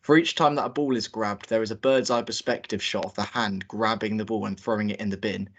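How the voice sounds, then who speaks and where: A man's voice: on the low side, fairly even intonation, brisk, a bit bright, highs cut off. Man reading stage directions. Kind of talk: table read narration.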